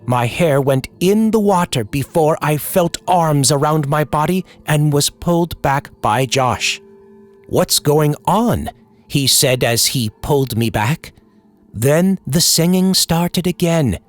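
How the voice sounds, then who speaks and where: Man, scripted horror storytelling, theatrical, animated intonation, measured pace, background music. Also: a little high. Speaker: horror story host and narrator. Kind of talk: old-time radio horror storytelling, scripted narration.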